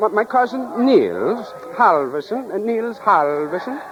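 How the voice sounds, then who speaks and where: A man's voice: sits high, sing-song, unhurried, over music, pitched above the performer's own voice. A man voicing a simple, hesitant sailor with a mock Scandinavian accent in 1940s radio style. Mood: naive, eager. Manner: hesitant, naive, stumbling. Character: bumbling, simple Scandinavian-American sailor looking for his cousin. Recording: muffled old 1940s radio recording.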